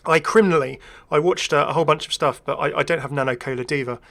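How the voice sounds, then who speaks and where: A man's voice: fairly high pitch, fast talker, fairly bright tone. A man giving casual, rambling first-impressions commentary as a gaming video creator, chatty, enthusiastic and self-deprecating.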